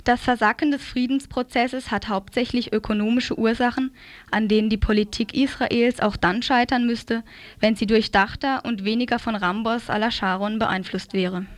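Higher-voiced woman reading scripted radio commentary. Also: steady tone, moderate pace, no echo. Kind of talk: scripted commentary read aloud.

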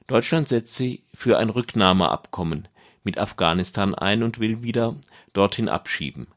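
Low-voiced male radio presenter. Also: animated, moderate pace. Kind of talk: scripted radio magazine narration.

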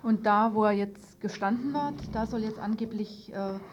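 A woman's voice: medium pitch, normal speed. A woman hosting an informal radio interview, phrasing her questions tentatively as if thinking aloud. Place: radio studio. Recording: dull lo-fi radio tape, noisy.

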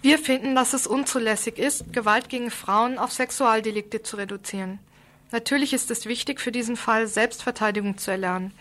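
A woman's voice: fairly high pitch, a little fast, fairly bright tone. A woman presenting a scripted radio report, reading it out in an earnest, formal way.

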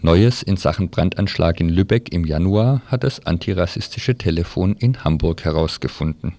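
Low, creaky-voiced man announcing radio programmes. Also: normal speed, dark tone, no echo. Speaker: radio presenter.

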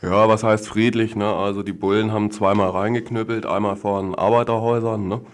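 A man's voice: fairly low pitch, flat delivery, brisk. A man giving a casual interview as an activist, talking bluntly and colloquially with frequent tag questions. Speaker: activist interview guest, demonstration participant.

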